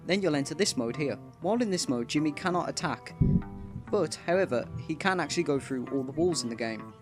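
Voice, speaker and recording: A man's voice: a little high, expressive, a little fast, music in the background. A man hosting a gaming video with casual, explanatory commentary.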